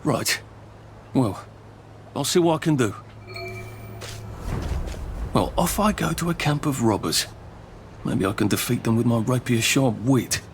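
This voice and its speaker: A man's voice: mid-range voice, unhurried, long pauses, a little hiss or hum, natural voice. A man with an English accent playing a polite, timid, wry everyman hero who mutters dry, self-deprecating remarks in a video game. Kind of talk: video game cutscene acting.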